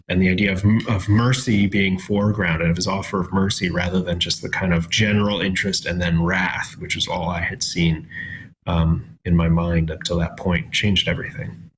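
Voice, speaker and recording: A man in his thirties sharing his personal faith testimony as a guest, thoughtful and searching for words with frequent pauses. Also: sits low, flat delivery, a bit bright, a little hiss or hum, rough recording. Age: 30s.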